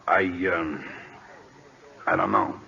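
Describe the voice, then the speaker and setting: A man with an American accent playing a folksy, gruff, loyal old-hand ballplayer in radio drama, slangy and plainspoken. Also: very deep voice, very animated, takes it slowly, pushed and bright, heavily acted. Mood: reluctant, sorrowful. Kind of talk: 1940s radio drama acting. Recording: dull old radio recording.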